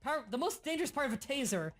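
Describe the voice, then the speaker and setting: A young man hosting a casual gaming livestream, explaining game mechanics in quick bursts and sometimes stuttering. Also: extremely high pitch, big pitch swings, fairly bright tone. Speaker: livestream host. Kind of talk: casual gaming stream chat.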